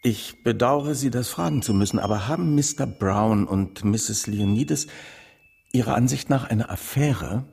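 An actor playing a matter-of-fact, persistent police inspector in a radio crime drama, questioning formally. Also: male voice, mid-range voice, moderate pace, vocal fry, a little mellow, a little hiss or hum, lightly acted. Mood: probing, formal.

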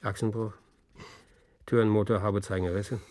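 An actor playing a weary, sarcastic police officer in a German radio play, grumbling with a gravelly voice. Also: male voice, on the low side, little pitch movement, moderate pace, a little mellow, lightly acted. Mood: dry. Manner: sardonic, grumbling.

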